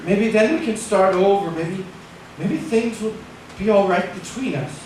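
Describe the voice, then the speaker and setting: A desperate, stammering husband, echoey recording. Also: male voice, sits high, a little slow, darkish tone. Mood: defensive, pleading. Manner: stammering, agitated, melodramatic. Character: desperate guilt-ridden husband turned killer, pleading and bullying. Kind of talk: old-time radio drama acting. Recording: echoey distant old radio recording, hissy.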